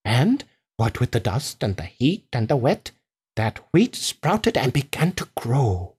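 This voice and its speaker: A sly farmer, voiced by a woman reader, spins a tall storybook tale. The pitch swoops about animatedly, and the delivery is emphatic, rustic and slightly husky. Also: sing-song, a little mellow, full character voice. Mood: earnest tall-telling. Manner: unhurried, emphatic storytelling with rustic turns of phrase.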